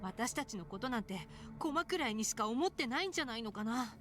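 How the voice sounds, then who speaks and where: A woman's voice: fairly high pitch, animated, very fast. A woman playing an anxious, uncertain young companion in a visual novel game. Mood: worried. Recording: loud game music over the voice. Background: game music.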